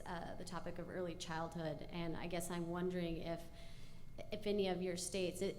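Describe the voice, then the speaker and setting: A woman's voice: on the low side, darkish tone, a little hiss or hum. A woman asking a tentative, casual question from the audience.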